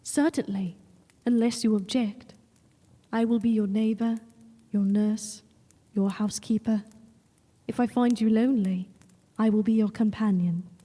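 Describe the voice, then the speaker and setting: Young gothic heroine, played by an actress: very mellow, husky-edged, devoted and composed, in long reverb. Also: female voice, mid-range voice, fairly even intonation, lightly acted. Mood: devoted, steady. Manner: formal, measured, old-fashioned phrasing. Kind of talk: audio drama acting and first-person narration.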